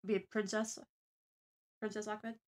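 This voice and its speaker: A woman hosting a livestream, chatting casually and thinking aloud in a deliberate way. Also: mid-range voice, level tone. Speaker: stream host.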